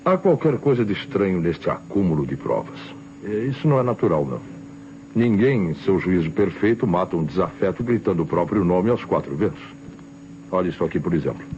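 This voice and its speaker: An actor playing a shrewd, sceptical police inspector in an old radio crime drama, deliberate and authoritative. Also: male voice, low voice, very animated, darkish tone. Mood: sceptical, pondering. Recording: muffled, noisy vintage radio recording.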